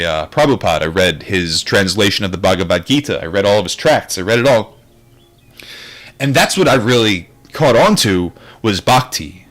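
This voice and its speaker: A man's voice: fairly low pitch, fairly bright tone. A man reading a book aloud and adding his own casual, rambling commentary, with wry and reflective asides.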